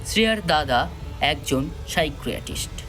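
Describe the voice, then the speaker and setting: A man narrating a scripted story solemnly and dramatically, with measured pauses. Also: on the high side, expressive, music in the background.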